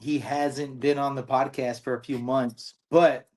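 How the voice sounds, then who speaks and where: A man's voice: medium pitch, level tone, unhurried, darkish tone, low-quality recording. A man, hosting a casual podcast and chatting with lots of slang. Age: 30s. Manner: laid-back, boastful, lots of slang. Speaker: podcast host.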